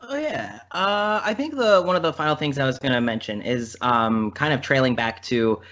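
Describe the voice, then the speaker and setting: A man's voice: mid-range voice, animated, normal speed, fairly bright tone. Dull-sounding man, earnest podcast guest. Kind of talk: casual podcast conversation.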